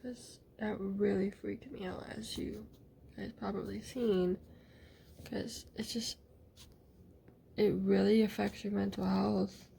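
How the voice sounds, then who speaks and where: Woman vlogger, mellow fry-heavy voice, hesitant rambling diary talk. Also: medium pitch, little pitch movement, slow, slight background noise. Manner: hesitant, rambling, many fillers. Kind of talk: casual video diary.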